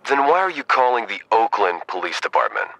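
An actor playing a weary, procedural police sergeant, measured and matter-of-fact, in an audio drama. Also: male voice, lowish voice, very animated, pushed and bright, no room sound, heavily acted, low-quality recording. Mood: politely dismissive. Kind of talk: audio drama acting, phone dialogue.

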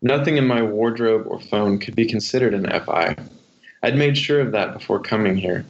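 Man, lowish voice, author reading and discussing work, slightly dull lo-fi audio. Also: moderate pace. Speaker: author, podcast guest. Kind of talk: memoir reading and literary interview talk. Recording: muffled, dull lo-fi sound.

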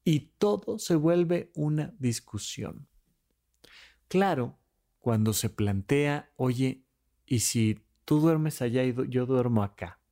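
A man hosting a podcast, talking casually about relationships and acting out bickering couples in quick quoted voices. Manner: animated, swings between high and low pitch. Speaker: podcast host.

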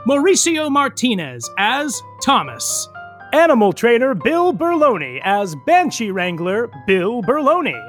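A man's voice: extremely high pitch, very animated, a little slow, fairly bright tone, soft background music. A man narrating an audio soap opera and reading its credits in a hammy, mock-dramatic announcer manner. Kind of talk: theatrical scripted narration and credits.

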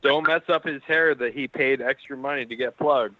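A man's voice: medium pitch, normal speed, no room sound. A man co-hosting a podcast, joking and restarting his sentences. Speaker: podcast co-host. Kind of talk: casual podcast banter. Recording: dry, tinny phone-like audio.

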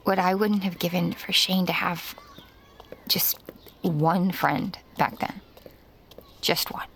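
Slow, creaky-voiced anguished mother. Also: fairly low pitch, steady tone, fairly bright tone, slight background noise, exaggerated voice. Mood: sorrowful, confessing. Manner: tender, halting, emotional. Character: anguished, protective mother confessing a family secret. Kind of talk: audio fiction acting.

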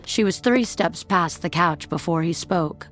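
A woman narrating scripted suspense fiction in a measured, dramatic storytelling manner. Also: lowish voice, faint music behind, close to an everyday voice.

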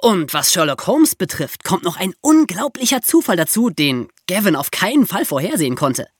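A woman co-hosting a podcast, explaining true crime in a lively storytelling way with rhetorical questions. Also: on the low side, normal speed, slightly rough, dry recording, clean studio sound. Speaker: podcast co-host.

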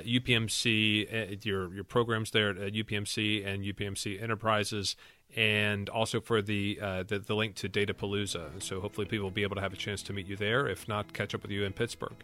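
A man's voice: lowish voice, sharp tone. A man hosting an interview podcast, asking questions and closing the episode in an upbeat, polished way. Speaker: podcast host.

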